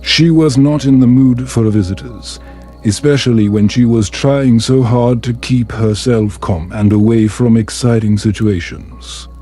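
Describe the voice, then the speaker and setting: Male narrator, low-pitched, unhurried pace, soothing bedtime storytelling, gentle delivery, soft music behind. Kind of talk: slow calming scripted narration, ASMR bedtime story.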